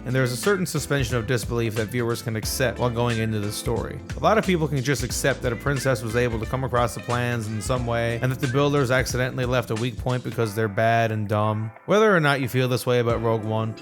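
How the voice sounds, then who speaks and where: A man narrates a scripted video essay at a quick pace. His pitch is mid-range, and music plays clearly behind him. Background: background music.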